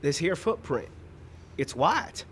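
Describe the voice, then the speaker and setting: A man playing an earnest, slightly slow cowboy sidekick in an old-time radio mystery, performed live. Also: on the high side, big pitch swings, fairly bright tone, heavily acted. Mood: puzzled, observant. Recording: live outdoor stage, some background noise.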